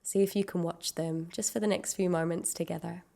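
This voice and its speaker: A woman leading a guided meditation, giving gentle, unhurried instructions with long pauses. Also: fairly low pitch, fairly even intonation, creaky.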